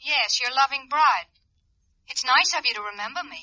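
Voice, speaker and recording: A wounded, jealous wife in a vintage radio drama. Her voice is high and extremely bright and sharp, every phrase pointed with sarcasm. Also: moderate pace. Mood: hurt, sarcastic. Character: hurt, jealous young wife on the telephone. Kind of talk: 1950s radio drama acting.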